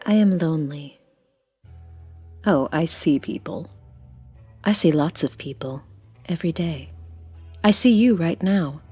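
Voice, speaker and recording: A woman's voice: low voice, lively intonation, unhurried, long pauses, dark tone, breathy edge, faint music behind, exaggerated voice. A woman playing a lonely, creepy faceless old woman in a scripted audio drama, speaking an intimate, menacingly tender monologue. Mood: lonely, watchful.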